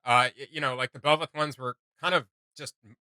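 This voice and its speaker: A man's voice: medium pitch, fairly even intonation, brisk, sharp tone, clear, resonant tone, no room sound. A man hosting a reaction show, giving casual, rambling commentary with a jokey streak.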